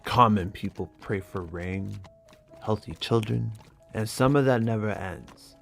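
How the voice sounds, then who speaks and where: A man's voice: on the low side, a little slow, gravelly, fairly bright tone, faint music behind. A man voicing a grave, loyal, world-weary exiled knight in a sober, blunt manner for a fantasy reading.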